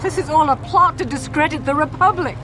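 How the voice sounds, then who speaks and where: A woman's voice: high-pitched, a little fast, background noise, close to an everyday voice. A woman playing an earnest, loyal wife in a video game, pleading and insistent. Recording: loud music under the voice.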